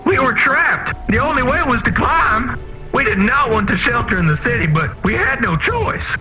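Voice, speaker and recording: An actor playing an earnest, masked refugee from underground vents in a video game, pleading in dramatic, formal wording. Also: male voice, very high-pitched, sing-song, moderate pace, music in the background, heavily acted, low-quality recording. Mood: harried, grave.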